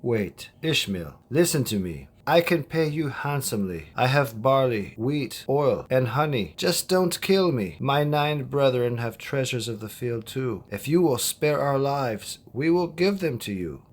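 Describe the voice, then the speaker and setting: An actor playing a humble, pious pilgrim in a Bible audio drama, meek and deferential. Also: male voice, medium pitch, expressive, unhurried, few pauses. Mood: pleading.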